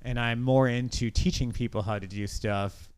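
A middle-aged man talks casually as a podcast host. His voice is clean and smooth, recorded close and dry, and he tells his stories in an earnest, reflective way. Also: mid-range voice, steady tone, moderate pace, a little mellow, no echo.

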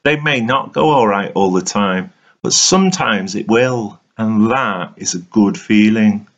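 A man reads a motivational monologue in a fairly low voice. His delivery is very slow and deliberate, with earnest, emphatic phrasing. The recording is slightly dull and lo-fi. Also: animated. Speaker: reader of a written monologue. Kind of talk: dramatic motivational spoken-word reading.

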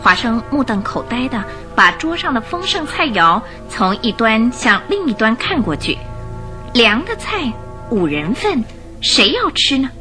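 Expressive woman narrating a radio drama. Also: fairly high pitch, a little slow, music in the background. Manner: expressive, theatrical.

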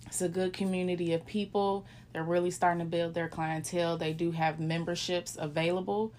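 A woman of thirty co-hosting a casual chat, relaxed and talkative, also reading a business shout-out. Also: on the low side, brisk, a little hiss or hum. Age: 30s. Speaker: podcast co-host.

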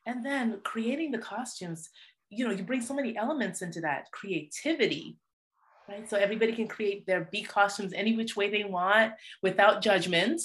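Woman podcast host, medium-pitched. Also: normal speed, fairly bright tone, a little hiss or hum, low-quality recording. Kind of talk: casual conversational interview.

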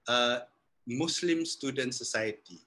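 A man with a Malaysian American accent, a guest thinking back in a casual podcast conversation, halting and restarting his sentences as he reflects. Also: mid-range voice, bright, forceful tone.

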